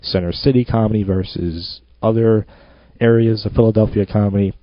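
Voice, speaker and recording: A man's voice: fairly low pitch, fairly even intonation, normal speed, no room sound. A man hosting his own podcast, rambling and self-mocking in a loose monologue. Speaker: podcast host. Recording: dull lo-fi home recording.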